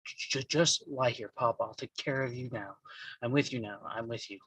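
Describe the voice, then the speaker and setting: A man acts out a young man standing guard over his father in a live script read. His voice is husky and dry, and he stammers heavily. He stays resolute. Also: mid-range voice, normal speed, a bit bright, no echo, rough recording. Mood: tender. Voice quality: heavy stammer.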